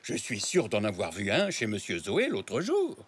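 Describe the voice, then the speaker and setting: A man voicing a kindly old zebra grandfather in a French children's cartoon, jovial, encouraging and expressive. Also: lowish voice, normal speed, slightly rough, heavily acted. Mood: enthusiastic. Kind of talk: animated children's cartoon dialogue, French dub. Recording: music behind the dialogue.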